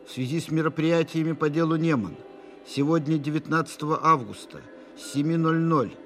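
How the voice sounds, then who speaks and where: An actor narrating urgent military dispatches in a radio play, formal, clipped and businesslike. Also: male voice, on the high side, fairly quick, fairly bright tone. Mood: urgent, official. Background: music.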